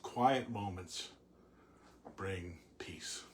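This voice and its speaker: A man reading and discussing poetry informally, in a reflective, halting, explanatory way. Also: low-pitched. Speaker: poet reading and commenting on a poem. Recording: clearly audible background noise.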